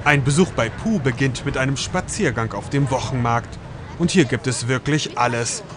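A man narrating a travel food documentary in a measured, scripted voice-over. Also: medium pitch, noisy background.

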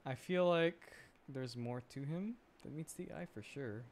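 A man's voice: medium pitch, a little slow, slight background noise. A man giving casual, chatty, giggly livestream commentary while playing a video game. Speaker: VTuber game streamer. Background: game music.

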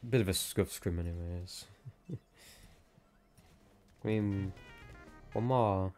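A man's voice: low voice, drawn-out words, darkish tone, faint music behind. A young man giving casual, chatty commentary on a team game scrim.

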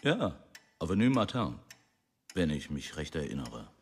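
Genial, deferential husband for radio drama: extremely low, rough-edged voice, unhurried. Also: male voice, sing-song, exaggerated voice. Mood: agreeable. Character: genial, agreeable American husband, deferring to his wife. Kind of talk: German radio drama acting.

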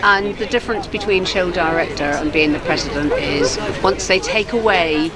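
A woman in her sixties, the show president, chatting in a measured way in an outdoor interview close to the camera. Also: on the low side, over a noisy crowd. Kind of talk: casual interview. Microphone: camera held close.

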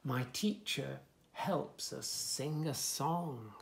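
A man in his fifties reading a picture book aloud to young children, with expressive, sing-song delivery and soft asides. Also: mid-range voice, drawn-out words, creaky, slight background noise. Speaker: children's story reader, host. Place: small furnished room indoors, bookshelves.

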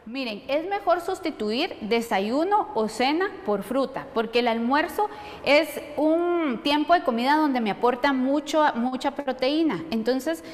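High-pitched woman explaining in a reverberant room. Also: animated, measured pace. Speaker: nutrition expert. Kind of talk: explanatory expert answers to audience questions. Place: studio set. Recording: long hall reverb.

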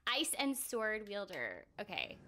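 A young woman chatting casually as she livestreams a game, excitable and giggly, often repeating her phrases. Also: mid-range voice, a little slow, slight background noise. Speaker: game streamer. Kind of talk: casual livestream gameplay chat. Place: indoor room at home. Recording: loud game music behind. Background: game music.

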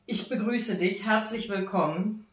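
A woman's voice: mid-range voice, unhurried, soft background music. Exuberant woman hosting in an echoey room. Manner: exuberant, effusive. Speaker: cooking show host. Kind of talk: casual chat with a guest. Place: small home kitchen, indoors. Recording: roomy kitchen echo, light background noise.

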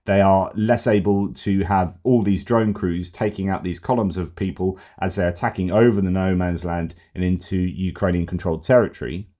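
Low, mellow-voiced middle-aged man rambling. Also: fairly even intonation, moderate pace, hardly any pauses. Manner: rambling, conversational, many fillers and restarts. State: slightly losing his voice. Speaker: host. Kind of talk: casual commentary on news posts. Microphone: headset.

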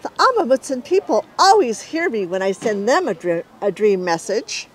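A woman voicing a gentle messenger creature in a storybook, earnest and resigned. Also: a little high, big pitch swings, normal speed, a little hiss or hum.

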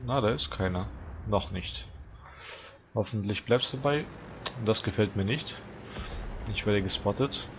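Teen gamer with a stuffy nose. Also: male voice, on the low side, unhurried, pauses often, muffled recording, background noise. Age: teens. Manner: chatty, relaxed, talks to viewers. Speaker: gaming channel host. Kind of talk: casual gameplay commentary.

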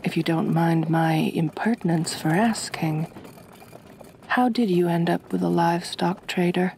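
Woman playing a slow, soft-spoken vampire mistress. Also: on the low side, a little hiss or hum. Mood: gentle, soothing. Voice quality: soft, breathy, often near-whispered. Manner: gentle, soothing, genteel, formal phrasing. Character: kind but possessive aristocratic female vampire mistress. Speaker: ASMR roleplay performer. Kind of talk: soft-spoken ASMR roleplay.

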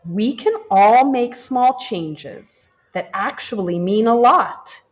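A woman reads a children's picture book aloud at an unhurried pace, the way a teacher would to a class. Her pitch moves widely and expressively, rising and falling to keep young listeners engaged. The delivery stays gentle and warm. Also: dull audio. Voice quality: expressive and animated, slow and gentle. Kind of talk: children's storybook read-aloud. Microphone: camera over the book, hands turning pages.

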